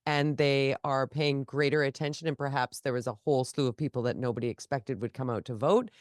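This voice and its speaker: A woman's voice: a bass voice, fairly quick, no room sound, clean studio sound. A woman co-hosting a casual political chat podcast, talking animatedly while she has a cold and sniffles. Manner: animated, jumps between topics, quoted asides. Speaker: podcast co-host.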